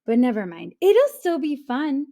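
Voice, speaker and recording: A woman voicing an excitable, grumpy young male ape in a children's storybook, exclaiming and whining indignantly. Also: high voice, a little slow, pitched above the performer's own voice, exaggerated voice. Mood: grumbling. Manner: exclaiming, whiny and indignant when crossed. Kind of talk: children's storybook read-aloud.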